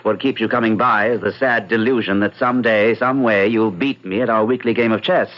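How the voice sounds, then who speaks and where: A man's voice: lowish voice, steady tone, fairly quick, close to an everyday voice. A man playing a detached, pragmatic bachelor of thirty, articulate and dryly amused, in radio drama style. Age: 30s. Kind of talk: old-time radio drama acting. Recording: dull lo-fi vintage radio recording.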